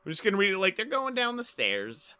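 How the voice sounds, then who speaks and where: A man's voice: sits high, huge pitch swings, a little fast, bright, forceful tone, clear, resonant tone, no room sound. A middle-aged man co-hosting a podcast, chatting casually and comedically with dry sarcasm. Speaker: podcast co-host. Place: small indoor room, video call.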